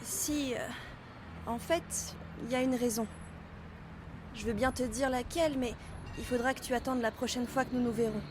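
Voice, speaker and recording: A woman voicing a gentle, mysterious schoolgirl ghost in a French audio drama, speaking softly and evasively. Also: on the high side, steady tone, normal speed, pauses often, noisy background, close to an everyday voice.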